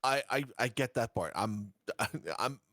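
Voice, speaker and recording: A man's voice: medium pitch, lively intonation, takes it slowly, fairly bright tone, no echo, studio quality. A man playing a tabletop game who stammers and repeats words as he asks questions. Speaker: player. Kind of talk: casual game chat.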